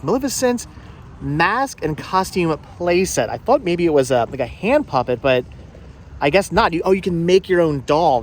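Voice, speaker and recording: Male vlog host, fairly high voice, upbeat and chatty, casual walk-around delivery, light outdoor noise behind. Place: outdoor flea market on a cold day. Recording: faint outdoor background noise.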